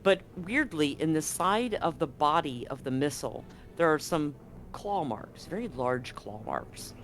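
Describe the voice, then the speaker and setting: A man narrates a tabletop game as game master in a slightly high voice. His narration is loose and casual, full of fillers and restarts. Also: big pitch swings, a little slow, fairly bright tone, slight background noise.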